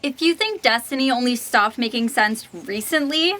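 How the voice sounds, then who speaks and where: Bright, sassy sardonic troublemaker. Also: female voice, on the high side, lively intonation, normal speed. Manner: sassy, mocking. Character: sardonic, reckless troublemaker with a split personality.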